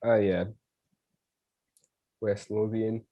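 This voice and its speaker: A man's voice: fairly low pitch, little pitch movement, extremely slow, warm tone. A Canadian-born man with a Scottish accent, hosting a casual podcast chat and laughing between phrases. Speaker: podcast host. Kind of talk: casual conversation.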